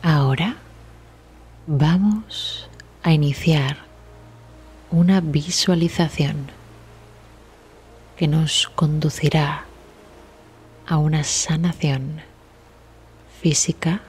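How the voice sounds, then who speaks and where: A woman leading a guided relaxation meditation in a soft, breathy, near-whispered voice, soothing and gentle, with long pauses. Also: deep voice. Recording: steady ambient sea sound behind voice. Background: sea waves ambience.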